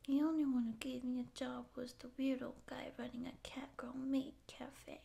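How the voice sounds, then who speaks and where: A young woman acting a naive, pouty stray catgirl in a soft, halting ASMR roleplay voice. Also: high voice, flat delivery, vocal fry. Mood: plaintive, wistful. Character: naive, tearful stray catgirl would-be space pirate. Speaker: ASMR voice actor. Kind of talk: ASMR roleplay audio drama.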